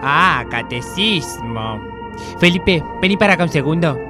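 A man's voice: a little high, lively intonation, measured pace, over loud music. A man with an Argentine accent playing a bossy, impatient, scheming paranormal investigator in a comic radio play. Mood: suspicious. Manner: scolding, emphatic. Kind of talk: comedic amateur radio play acting.

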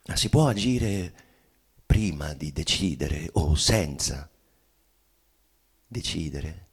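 An actor playing a dead bourgeois man bitterly confessing in a theatrical monologue, with halting, weighty phrasing and sudden swells. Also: extremely low pitch, animated, breathy edge, heavily acted. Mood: brooding, searching. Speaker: stage actor giving a dramatic reading. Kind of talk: theatrical monologue reading of a literary play.

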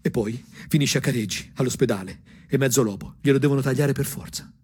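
A man with a Tuscan accent and a husky voice performs an ironic, angry, emphatic theatrical monologue for a punk radio drama. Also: mid-range voice, fairly quick, darkish tone. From Anghiari, Tuscany, Italy. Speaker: stage actor and storyteller. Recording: music under the voice. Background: music.

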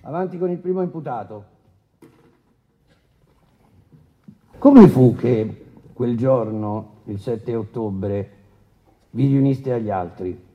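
A man's voice: medium pitch, measured pace, muffled recording, natural voice. An actor playing a brusque male presiding judge in a radio courtroom drama, formally and insistently questioning a defendant. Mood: formal, stern. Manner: insistent, interrupting, official.

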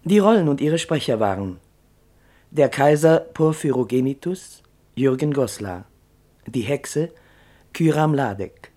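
A man reading a formal, scripted radio announcement in a measured manner as the announcer of a drama broadcast. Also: mid-range voice, expressive, dark tone.

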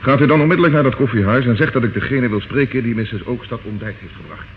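Actor as a firm police inspector, tinny old recording. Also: male voice, medium pitch, quick pace, lightly acted. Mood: urgent, probing. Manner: firm, businesslike questioning. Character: steady, probing male police inspector. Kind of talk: old radio drama acting. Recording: muffled, noisy old radio recording.

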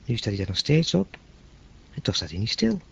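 Radio drama: everyday man out of his depth, sceptical and uneasy, lively intonation, slightly muffled recording. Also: on the low side, a little fast, vocal fry, slightly rough, full character voice. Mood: puzzled, sceptical. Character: bewildered, uneasy ordinary man questioning a mysterious voice. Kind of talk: naturalistic radio drama dialogue.

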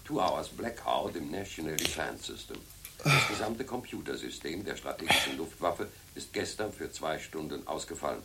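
A man's voice: rumbling low, big pitch swings, moderate pace, heavily acted. An actor playing a formal male news announcer reading a scripted radio bulletin. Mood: formal, matter-of-fact. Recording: noisy radio broadcast with clicks.

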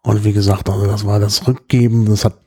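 Tiefe, warme Männerstimme, plaudernd. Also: male voice, lowish voice, flat delivery, a little fast, slightly rough. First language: German. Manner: chatty storyteller, many fillers. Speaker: podcast host. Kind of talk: casual chat.

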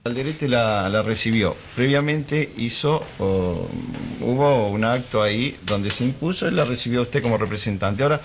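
A man's voice: medium pitch, moderate pace, few pauses. An Argentine man conducting a confrontational interview in a challenging, reproachful manner. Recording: slightly noisy archive broadcast audio.

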